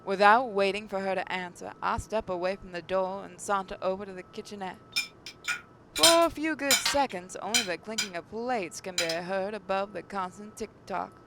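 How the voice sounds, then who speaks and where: A woman's voice: mid-range voice, moderate pace, very noisy. A woman gaming host reading visual novel narration aloud, with occasional stumbles.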